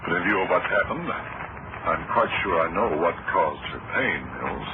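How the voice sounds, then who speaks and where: An actor playing a stern, indignant middle-aged surgeon, formal and clipped, in old-time radio drama style. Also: male voice, low voice, animated, moderate pace. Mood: grave, measured. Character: stern, authoritative male surgeon, indignant under suspicion. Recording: muffled, very noisy old radio recording.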